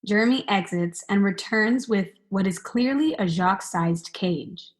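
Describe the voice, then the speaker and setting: A woman's voice: medium pitch, measured pace. A young woman reading stage directions as the narrator of a scripted radio play. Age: young adult.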